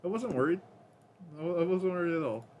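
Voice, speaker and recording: Deep voiced guy streaming, kinda rambly, noisy mic audio. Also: lively intonation, fast, a little mellow. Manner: rambling, self-talking, counts under breath. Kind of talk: casual gameplay commentary.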